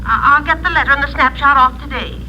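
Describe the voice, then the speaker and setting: A woman's voice: high-pitched, fast talker, sharp tone. A woman playing an anxious, polite middle-aged mother in an old radio drama, stammering and apologetic on a phone call. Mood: worried. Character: anxious, polite small-town mother phoning about missing daughter. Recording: old radio, noisy telephone-like line.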